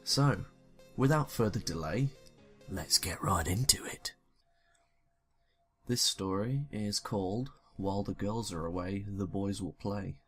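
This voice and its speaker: Low-pitched sweary young man reading. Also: measured pace, long pauses, fairly bright tone, soft background music. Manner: sweary, self-deprecating. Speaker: YouTuber reading fan fiction. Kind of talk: casual story reading with joking asides.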